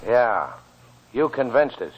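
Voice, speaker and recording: A hard, distrustful American gold prospector in a 1940s radio play. He talks in sardonic slang at a middling pitch. The broadcast recording sounds dull and aged. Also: big pitch swings, takes it slowly, a little hiss or hum. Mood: menacing. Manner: sardonic, rough slangy talk. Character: tough, suspicious, sneering American gold prospector.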